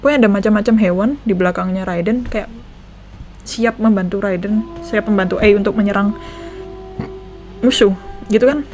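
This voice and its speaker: A young Indonesian woman with an Indonesian accent reacts to a game story and thinks aloud in a chatty, casual way. Her voice sits at an ordinary middle pitch, and soft game music runs underneath. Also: fairly even intonation, brisk, pauses often. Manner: chatty, thinks aloud, switches between languages. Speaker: gaming reaction vlogger.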